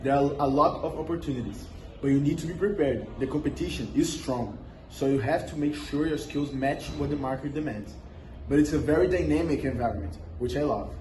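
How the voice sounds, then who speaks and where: A man's voice: on the high side, brisk, a little mellow. A young man answering interview questions in a scripted school role-play, reading memorized lines evenly. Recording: echoey room with background noise.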